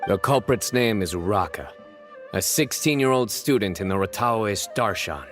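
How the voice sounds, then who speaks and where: Young game enforcer, lowish pitch, serious, authoritative, deliberate, composed. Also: male voice, expressive, a bit bright, faint music behind. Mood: matter-of-fact. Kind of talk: video game character dialogue.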